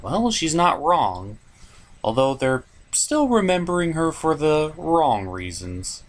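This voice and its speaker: A man's voice: on the high side, big pitch swings, slow pace. A man hosting a scripted comedic review, narrating in a sarcastic, exasperated manner. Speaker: host of a comedic cartoon review show.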